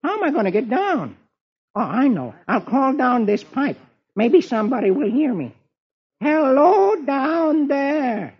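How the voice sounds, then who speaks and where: A man's voice: very high voice, very animated, moderate pace, slightly rough, pitched above the performer's own voice, heavily acted. A man playing a hapless young army private in an old radio reading of the funny papers. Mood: exasperated. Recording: dull vintage radio recording.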